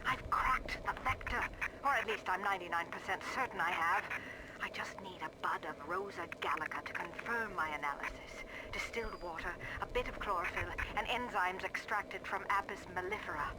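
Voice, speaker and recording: A woman's voice: medium pitch, normal speed, lightly acted. An actress playing a brash middle-aged woman scientist in a game audio diary, wry, earthy and boastful. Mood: smug, sardonic. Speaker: botanist recording audio diaries. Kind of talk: dramatic audio diary monologue in a video game. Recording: noisy old radio-like audio diary.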